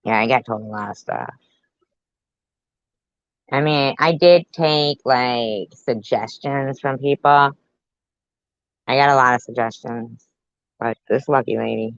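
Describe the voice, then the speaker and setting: A woman's voice: very deep voice, a little slow, pauses often, dry recording, highs cut off. A woman doing sarcastic reaction commentary on a YouTube video, with mocking asides.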